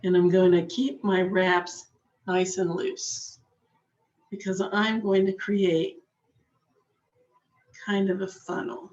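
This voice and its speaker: Woman, craft instructor, unhurried, slightly hoarse, dull lo-fi camera audio. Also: fairly low pitch, darkish tone. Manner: patient, chatty, talks while working with her hands. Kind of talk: slow step-by-step craft demonstration.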